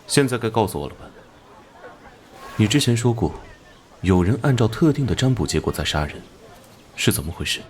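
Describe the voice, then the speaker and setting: An actor playing a hot-tempered police squad captain, brusque and businesslike, in a Chinese animated drama. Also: male voice, on the low side, a little fast, pauses often, slight background noise. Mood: probing.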